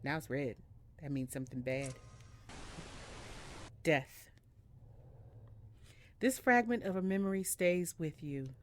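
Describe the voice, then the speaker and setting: Slow, low-pitched woman reading game text. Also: animated, slight background noise. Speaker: video game streamer. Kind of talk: casual let's-play, reading on-screen game text aloud. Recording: music playing behind the voice. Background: game music.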